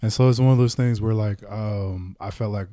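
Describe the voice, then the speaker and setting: A man's voice: lowish voice, fairly even intonation, normal speed, warm tone, no echo, clean studio sound. A young man who grew up in Samoa, a podcast guest talking in a thoughtful, laid-back way, with lots of casual fillers. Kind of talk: casual chat.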